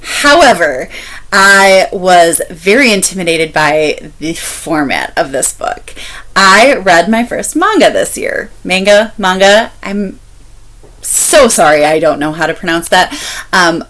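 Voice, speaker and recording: Woman, lowish voice, unhurried delivery, animated intonation, casual vlog chat, emphatic repetition, candid. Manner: emphatic, repeats phrases for stress; candid, expressive, laughs while talking. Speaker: book reviewer vlogger. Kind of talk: casual chatty book review vlog.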